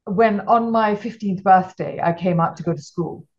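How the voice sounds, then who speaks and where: A woman's voice: medium pitch, normal speed, muffled recording. A middle-aged woman from Malawi, a guest entrepreneur, telling stories in casual interview chat with many restarts. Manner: rambling, frequent restarts, animated storytelling.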